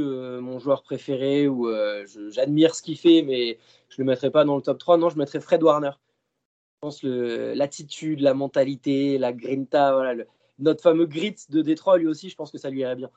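Mellow-voiced man on a lo-fi podcast line. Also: medium pitch. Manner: chatty, rambling, many fillers and restarts. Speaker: podcast guest. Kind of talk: casual podcast chat. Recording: dull lo-fi sound.

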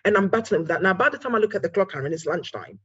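A woman's voice: medium pitch, lively intonation, rapid-fire, husky, highs cut off. A woman hosting an interview, chatting casually and rambling with asides. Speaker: podcast host.

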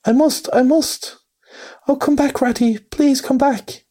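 A man voicing a small, timid male mole who pleads in a high, anxious voice. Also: steady tone, measured pace, warm tone. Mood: pleading.

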